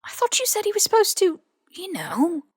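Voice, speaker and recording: A woman voicing a blunt, excitable, grumbling young British man in an audiobook story. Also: very high-pitched, moderate pace, dry recording, voice pushed up, full character voice. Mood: incredulous. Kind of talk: audiobook character dialogue.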